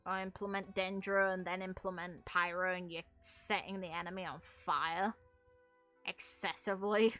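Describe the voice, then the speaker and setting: A woman's voice: lowish voice, fairly even intonation, moderate pace, bright, forceful tone. A woman giving casual, rambling gameplay commentary as the host of a gaming video. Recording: music playing behind the voice. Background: background music.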